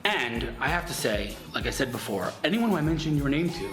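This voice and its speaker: A man hosting a vlog, chatting outdoors at a school in a fast, excitable, gushing way. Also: medium pitch, echoey room, music in the background. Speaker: vlog host. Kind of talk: casual chat.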